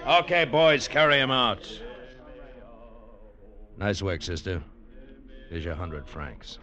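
An actor playing a menacing, bullying tough sea captain in an old radio drama. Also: male voice, lowish voice, big pitch swings, slow, pushed and bright, exaggerated voice. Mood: curt.

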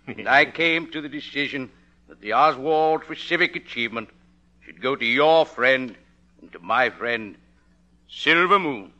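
An actor playing a dignified lieutenant governor, speaking formally in a 1950s radio comedy. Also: male voice, medium pitch, animated, a little slow, sharp tone. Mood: stately. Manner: formal, oratorical. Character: dignified lieutenant governor giving a ceremonial speech.

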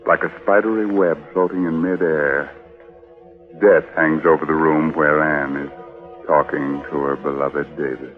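An actor playing a ghoulish, mock-cheerful host of a 1940s radio mystery, theatrical and tongue-in-cheek on a muffled old broadcast. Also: male voice, extremely low pitch, fairly even intonation, measured pace, soft background music. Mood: ominous. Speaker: horror radio show host.

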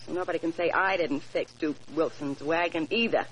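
A woman's voice: low-pitched, fairly quick, loud music behind the voice, natural voice. An actress playing a brash, scheming young woman with a bold, coaxing manner in a 1940s radio drama. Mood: brazen. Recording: noisy vintage 1940s radio recording.

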